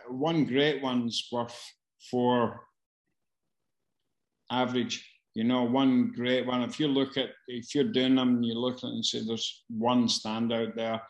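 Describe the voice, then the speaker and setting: A man, a casting director answering questions in a casual Q&A, halting with many fillers and restarts. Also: mid-range voice, echoey room, rough recording. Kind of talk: casual Q&A advice.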